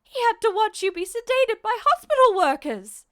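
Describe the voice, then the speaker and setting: A woman's voice: very high-pitched, big pitch swings, normal speed, fairly bright tone, voice pushed up, full character voice. A woman voicing a distraught, exasperated mother in a fan fiction audiobook, scolding with emphatic, reproachful delivery. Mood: angry, shouting. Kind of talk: acted dialogue in an audiobook-style fan fiction reading.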